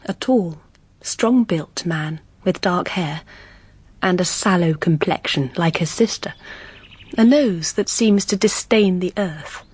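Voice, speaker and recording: Young English governess, hushed confiding narration, very breathy, lowish pitch, period audio drama. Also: female voice. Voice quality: breathy, often near-whispered. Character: reserved, wounded young governess confiding her private thoughts.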